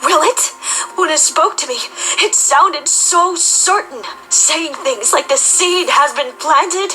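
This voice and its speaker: Woman as a high, haunted young princess pony. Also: takes it slowly, bright, forceful tone, faint music behind, full character voice, low-quality recording. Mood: anxious. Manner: urgent, dramatic, emphatic. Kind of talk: audio drama acting.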